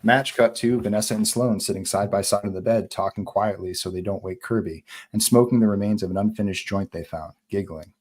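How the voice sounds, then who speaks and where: A man with a fairly low voice reads screenplay action and scene headings aloud. He narrates for a live table read. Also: fairly quick, few pauses, darkish tone. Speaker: narrator reading stage directions.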